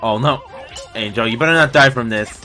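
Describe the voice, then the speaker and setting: A man's voice: medium pitch, a little fast, soft background music. A young man hosting a reaction video, exclaiming and laughing as he watches, recorded on a webcam in a small room. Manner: excitable, exclaiming and laughing at the screen. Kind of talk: live reaction commentary. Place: small indoor room with curtains.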